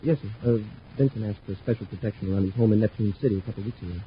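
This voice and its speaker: A man's voice: fairly low pitch, racing, lightly acted. A man playing an eager, deferential young space cadet in a 1950s radio drama. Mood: eager, chatty. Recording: muffled old radio recording, faint noise.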